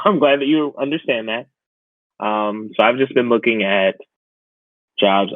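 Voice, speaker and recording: A man's voice: lowish voice, no echo. A man in his twenties co-hosting a podcast, chatting casually in a chummy, self-deprecating way. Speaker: podcast co-host. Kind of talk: casual chat. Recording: tinny phone-like line, dry.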